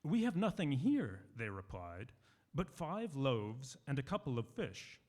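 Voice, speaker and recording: A man reading scripture aloud at a church service, with deliberate, expressive phrasing. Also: mid-range voice. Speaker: lay scripture reader.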